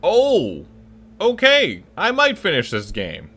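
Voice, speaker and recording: A man's voice: high voice, huge pitch swings, slow, sharp tone, slightly rough. A man hosting a gaming video, commenting casually and muttering reactions to himself as he plays. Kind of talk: casual let's play commentary, reading game text aloud.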